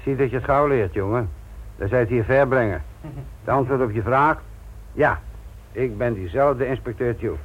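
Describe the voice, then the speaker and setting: An actor playing a blunt, sardonic, middle-aged police inspector lecturing trainees in a Dutch radio play. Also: male voice, mid-range voice, moderate pace, long pauses, breathy edge, close to an everyday voice. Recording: tinny, noisy old radio play recording.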